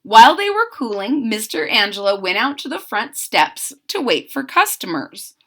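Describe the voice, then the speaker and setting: A woman reading a picture book aloud to children, expressive and breaking off for chatty asides to her listeners. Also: on the high side, moderate pace. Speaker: story reader.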